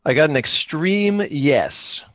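A man improvising his way through a tabletop game in casual chat, thinking out loud as he plays. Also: on the high side, animated, slow pace, slight background noise. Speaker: podcast player improvising a solo tabletop game.

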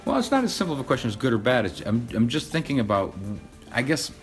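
A man's voice: mid-range voice, big pitch swings, fast, faint music behind. A man interviewing, asking curious questions and thinking aloud.

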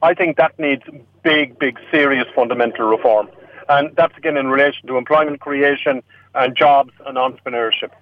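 A man's voice: medium pitch, fairly even intonation, slight background noise. A man from an Irish-speaking region, a native Irish speaker with an Irish accent, speaking as an election candidate on a radio panel with frequent restarts. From Irish-speaking region of Ireland. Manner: halting, many restarts. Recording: tinny phone line.

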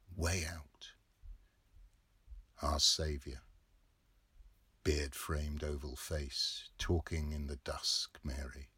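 A man in his fifties narrating a literary novel with measured, deliberate phrasing. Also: very deep voice, a bit bright, slightly rough, no room sound. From England. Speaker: audiobook reader.

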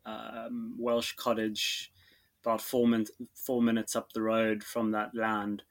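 A man in his thirties with a South African accent, talking about his farm as a podcast guest, slow and halting with many fillers and restarts. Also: lowish voice, monotone. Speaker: guest farmer. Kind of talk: podcast interview.

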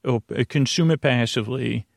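A man hosting a bedtime podcast in a rambling monologue, halting and interrupting himself with stammered restarts, his voice creaky. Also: medium pitch, no room sound, clean studio sound. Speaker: podcast host.

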